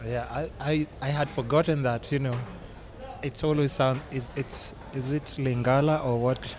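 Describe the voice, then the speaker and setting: A man's voice: mid-range voice, fairly even intonation, moderate pace, a little mellow. A man hosting a podcast in casual chat, a teasing, joking interviewer. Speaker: podcast host.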